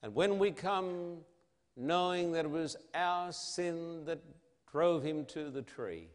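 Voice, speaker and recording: A man delivers a sermon in a somewhat high-set voice. He speaks very slowly and emphatically, leaving weighty pauses and posing rhetorical questions. Long reverberation from a large hall trails his words. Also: animated. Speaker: preacher. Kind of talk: evangelistic sermon.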